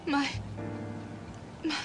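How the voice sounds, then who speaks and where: An actress playing a melodramatic, indecisive damsel in distress in a detective spoof. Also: female voice, drawn-out words, music in the background. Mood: desperate. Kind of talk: detective spoof drama acting.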